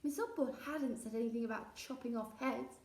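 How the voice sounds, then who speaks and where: A woman's voice: on the high side, fairly quick, darkish tone. Woman storyteller in a roomy space. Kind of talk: expressive children's story narration. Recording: roomy echo, distant mic.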